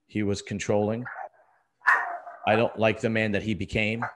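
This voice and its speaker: A man's voice: fairly low pitch, little pitch movement, normal speed, very noisy. A middle-aged man talking casually as a podcast host, blunt and emphatic, often repeating himself for stress. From US East Coast. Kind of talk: casual conversational podcast talk.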